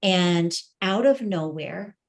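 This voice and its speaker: A woman's voice: on the low side, expressive, slow pace. A middle-aged woman who grew up in the Middle East, speaking earnestly and thoughtfully as a guest telling her own story in an interview. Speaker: podcast guest, entrepreneur and coach. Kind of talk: reflective personal storytelling in an interview.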